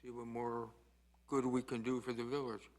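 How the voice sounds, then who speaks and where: An older man, a village commissioner, introducing himself informally at a meeting in a halting, understated way. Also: medium pitch, little pitch movement.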